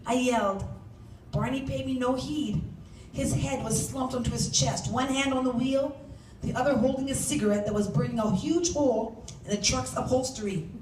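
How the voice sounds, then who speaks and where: High-voiced woman reading aloud, roomy sound. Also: moderate pace. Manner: animated, acts out shouted dialogue. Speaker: author reading from their own novel. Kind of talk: dramatic first-person story reading. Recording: roomy, distant mic, faint background noise.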